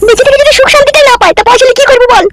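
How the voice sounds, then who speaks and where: A woman voicing a scolding, sharp-tongued village woman in a comedy cartoon, with a pitched-up, sped-up voice. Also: racing. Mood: quarrelsome. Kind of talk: exaggerated cartoon comedy dialogue.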